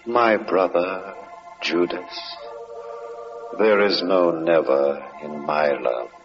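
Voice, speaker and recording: An actor in an old American radio drama playing a solemn, loving divine saviour who speaks in a measured, tender way, over music on a muffled recording. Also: male voice, deep voice. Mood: solemn compassion.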